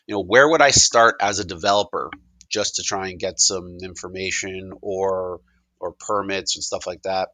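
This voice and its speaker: A man asking casual questions in a halting, informal way, as if thinking aloud. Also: sits low, steady tone, sharp tone, no echo. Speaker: caller asking questions in a product demonstration.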